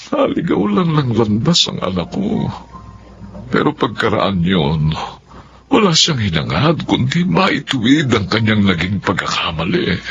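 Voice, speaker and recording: A man's voice: on the low side, big pitch swings, a little slow, vocal fry, heavily acted. An actor with a Filipino accent playing a caring, worried older father in a radio drama, speaking with fatherly concern. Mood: sombre, forgiving. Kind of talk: Tagalog radio drama acting. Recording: muffled audio with faint noise.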